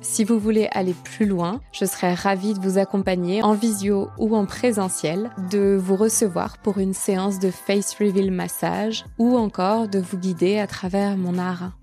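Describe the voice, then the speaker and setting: A woman's voice: mid-range voice, fairly even intonation, moderate pace, hardly any pauses, warm tone, soft background music. A woman in her late thirties hosting an intimate conversational podcast. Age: late 30s. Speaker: podcast host.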